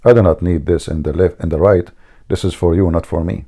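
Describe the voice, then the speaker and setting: Gravelly man. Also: deep voice, fairly even intonation, fairly quick, mellow. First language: Arabic. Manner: mocking, emphatic, repeats himself. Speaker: debate show host. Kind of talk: argumentative religious debate.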